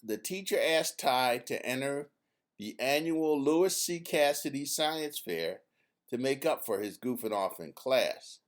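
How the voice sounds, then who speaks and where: A man reading his own children's book aloud as an expressive, emphatic storyteller. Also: mid-range voice, measured pace.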